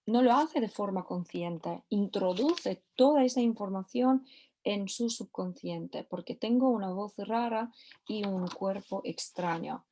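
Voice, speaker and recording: A woman's voice: mid-range voice, measured pace, mellow, a little hiss or hum. A woman teaching a recorded course lesson, often repeating key phrases for emphasis. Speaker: course instructor.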